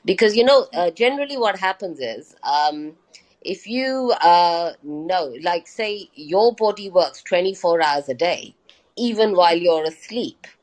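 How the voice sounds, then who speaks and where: A woman's voice: on the low side, expressive, unhurried, low-quality recording. A woman doctor and lecturer, a guest in a live interview, explaining things patiently with many hesitant fillers. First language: not Hindi.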